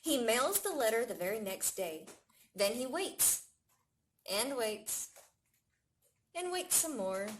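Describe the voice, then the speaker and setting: A woman reads a picture book for young listeners. Her voice sits a little high and moves widely in pitch, with expressive storytelling delivery. There is faint noise in the recording. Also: takes it slowly, pauses often. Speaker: library staff storytime reader. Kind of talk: children's picture book read-aloud.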